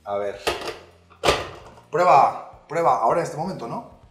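A man's voice: fairly high pitch, lively intonation, measured pace, echoey room, over music. A young man presenting a recipe in a casual, playful cooking segment, talking straight to his viewers. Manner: upbeat, playful, addressing viewers directly.

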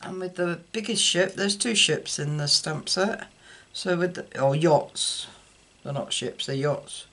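An older woman giving an unscripted card-making demonstration, haltingly thinking aloud as she works at a table indoors. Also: lowish voice, a bit bright. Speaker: craft tutorial host. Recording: clear background noise, clicks.

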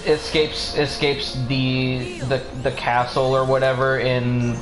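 A man in a roomy, echoing space talks casually over game music while streaming. His pitch is average, and he swears freely as he plays. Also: level tone, takes it slowly. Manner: casually profane. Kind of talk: casual gaming chat with co-host. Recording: roomy echo, music behind. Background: video game music.

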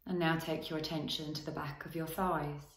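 Woman, low pitch, guided meditation teacher, gentle phrasing with long pauses, roomy echo. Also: flat delivery. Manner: gentle, unhurried instruction with long pauses between short phrases. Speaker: guided relaxation and movement teacher. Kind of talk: guided body scan meditation.

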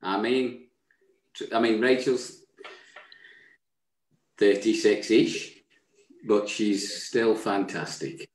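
A man's voice: lowish voice, takes it slowly, long pauses. A man, co-hosting a casual chat show over a video call, bluff and cheery. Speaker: co-host. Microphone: video call webcam. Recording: echoey webcam room sound.

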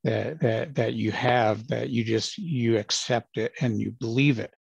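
A man in his sixties, a retired ranger, telling his stories in a measured, plain-spoken way with many fillers. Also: medium pitch, gravelly, slightly rough, no echo, highs cut off. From Los Angeles, United States. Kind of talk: casual interview storytelling.

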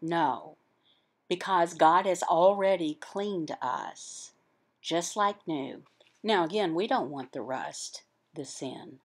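Slow, low-voiced woman giving a sermon. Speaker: voice interpreter for a signed sermon. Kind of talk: interpreted sermon, explanatory teaching.

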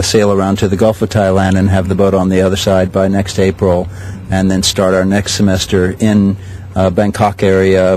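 Low, flat-voiced older man. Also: slight background noise. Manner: measured, many "uh" pauses. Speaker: sailing school director. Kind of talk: outdoor interview answers. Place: outdoors at a regatta.

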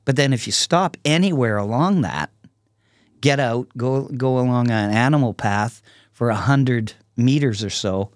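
A man in his sixties speaking casually and reflectively as a podcast guest, in a halting, thoughtful way. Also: medium pitch, no echo, clean studio sound. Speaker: podcast guest, artist and songwriter. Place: small podcast studio with curtains. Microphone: close podcast microphone on a stand.

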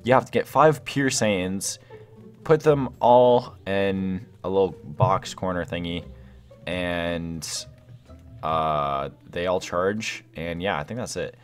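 A young man giving excited, casual gameplay commentary, rambling and thinking aloud in slangy exclamations. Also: sits low, takes it slowly, faint music behind. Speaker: gaming video host.

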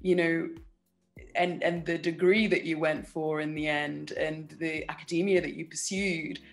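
A woman's voice: low voice, fairly even intonation, unhurried, soft background music. A young adult woman interviewing a guest over a video call from her home, asking long, winding questions. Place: home room. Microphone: video call webcam.

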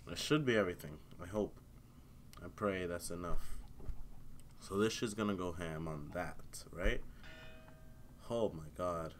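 A man's voice: low-pitched, measured pace, long pauses, faint music behind. A young man streaming a game on a headset mic, muttering and swearing as he plays, congested from a cold and a sore throat. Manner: laid-back, mutters to himself, swears often. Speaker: gaming streamer. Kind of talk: casual live gameplay commentary. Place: home room at a computer.

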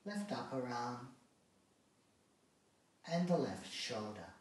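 A man guiding a relaxation practice as a yoga instructor, speaking calmly and soothingly in short phrases with long pauses. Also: medium pitch. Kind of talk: guided meditation, calm step-by-step instruction. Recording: very echoey room, distant mic.

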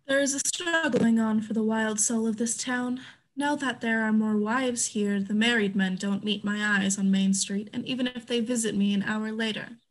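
A woman playing a worldly, defiant brothel madam, measured and articulate in a dramatic monologue. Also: on the high side, fairly even intonation, close to an everyday voice, poor audio. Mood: wary, defiant. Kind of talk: staged reading of a dramatic monologue. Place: indoors at home on a video call.

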